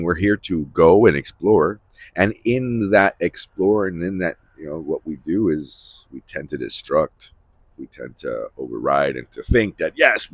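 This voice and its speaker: A man with a husky voice giving a rambling, unscripted spiritual monologue, emphatically repeating phrases for stress as he hosts. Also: low voice, no room sound. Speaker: host of a spiritual series.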